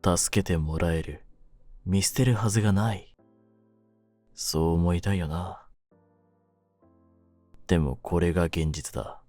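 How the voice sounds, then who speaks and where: A man playing a jaded, domineering yet secretly tender young mafia kidnapper in an audio drama, speaking low in a rough, cool, deliberate way. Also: steady tone, a little mellow, no echo, faint music behind. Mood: cold, resigned. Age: young adult. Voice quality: low-pitched. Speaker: voice actor in a situation voice drama. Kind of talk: Japanese situation-voice audio drama addressed to the listener.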